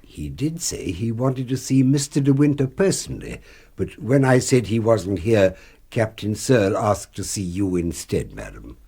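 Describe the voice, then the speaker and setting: Butler, radio drama: deferential, measured, low-pitched, mellow, discreet. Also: male voice, sing-song, vocal fry, slightly rough, heavily acted. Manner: measured, respectful. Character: formal, deferential household butler.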